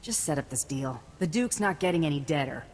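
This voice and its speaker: An actress playing a wry, tough bounty hunter in a video game, terse and sardonic. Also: female voice, low-pitched, animated, a little fast, darkish tone, slight background noise. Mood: curt, blunt. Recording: prominent background music under the voice.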